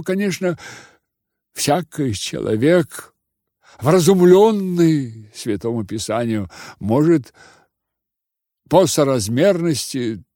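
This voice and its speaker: Man acting a flustered, high-pitched timid young seminarian. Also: mellow, no echo, studio quality. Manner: halting, stammering, wheedling. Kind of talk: audiobook character voice.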